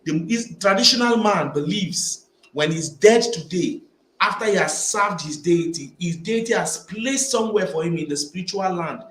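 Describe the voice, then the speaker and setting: A man with a high voice delivers an opinionated vlog monologue, hammering his points home by repeating his phrases. He sounds like he is in a roomy, echoing space, with a faint hum of background noise behind him. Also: lively intonation, normal speed, fairly bright tone. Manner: emphatic, repeats phrases while building arguments. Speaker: vlogger. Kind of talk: opinionated commentary to camera. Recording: echoey room with faint ambient noise.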